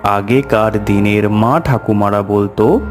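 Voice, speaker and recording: A man narrating a scripted horror story in the first person, in a measured, deliberate way. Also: fairly low pitch. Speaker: storyteller narrator voicing a tantric recounting his past. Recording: music bed under the voice. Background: music.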